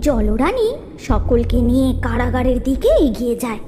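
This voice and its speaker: Lively high-voiced woman storyteller. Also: normal speed. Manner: lively, sing-song storytelling. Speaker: narrator. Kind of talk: storytelling narration. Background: background music.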